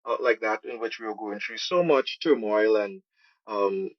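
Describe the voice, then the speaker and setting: A man's voice: fairly low pitch, normal speed, no echo. Trinidadian man, slightly muffled dry audio. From Trinidad and Tobago. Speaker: podcast guest, program manager and musician. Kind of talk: conversational interview answers.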